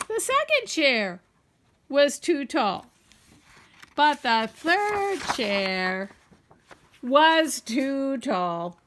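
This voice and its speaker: A woman reading a children's story aloud in an expressive, playfully wry and deliberate way. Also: sits high, slight background noise. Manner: playfully wry, deliberate, addresses young listeners. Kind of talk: animated storybook read-aloud.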